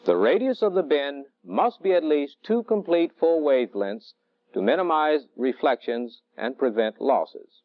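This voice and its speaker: A middle-aged man lecturing as a military technical instructor in a deliberate, didactic way, on a vintage training film soundtrack. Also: a little high, animated, no echo, muffled recording. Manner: deliberate, didactic, measured phrasing. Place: indoor training film studio.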